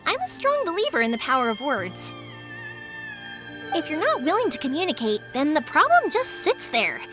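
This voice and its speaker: A woman's voice: high voice, moderate pace. A woman voicing an upbeat, talkative, perceptive young fireworks maker in a video game. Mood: confident, earnest. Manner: lively, encouraging, talkative. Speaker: video game character actor. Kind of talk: animated anime-style game acting. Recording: music behind the voice.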